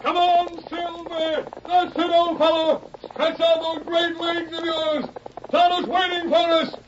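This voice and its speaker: An actor playing a bold, heroic American cowboy rider in a 1930s radio serial. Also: male voice, very high voice, level tone, a little slow, slight background noise. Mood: rousing, urgent. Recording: dull 1930s radio recording.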